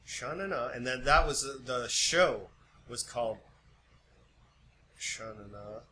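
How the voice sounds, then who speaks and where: A man's voice: mid-range voice, lively intonation, slow pace, bright, forceful tone. A man in his forties co-hosting a podcast in casual chat, rambling and often searching for words. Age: 40s. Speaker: podcast co-host. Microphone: podcast microphone. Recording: background noise, sometimes distant mic pickup.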